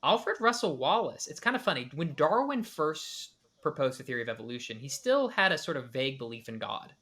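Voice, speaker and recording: Male podcast host reacting to a clip, bright voice, analytical, lots of restarts. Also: a little high, very animated, brisk. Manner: analytical, with many restarts and hedged speculation. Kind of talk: reaction commentary.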